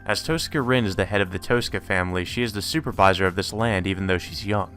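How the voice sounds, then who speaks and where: Low-voiced man reading a visual novel. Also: fast talker, fairly bright tone, soft background music. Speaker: let's player. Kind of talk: reading visual novel text aloud with asides.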